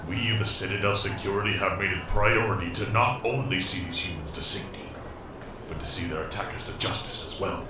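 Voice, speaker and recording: A man acts out a stern security captain in a sci-fi audio drama. His very deep, solemn voice delivers an official statement, distant and heavily echoed. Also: brisk, lightly acted. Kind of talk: scripted public statement. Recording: distant, very echoey broadcast, some noise.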